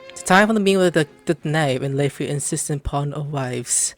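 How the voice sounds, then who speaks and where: A woman's voice: deep voice, brisk, soft background music. A woman giving casual, playful, slangy reactions as she comments over a video game. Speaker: gameplay commentator. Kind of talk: casual let's play commentary.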